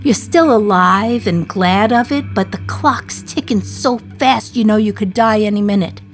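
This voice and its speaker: A woman's voice: mid-range voice, unhurried, faint music behind, close to an everyday voice. An actress playing a condemned woman prisoner in her thirties, talking to the listener in a wry, sardonic, confiding way in a radio play monologue.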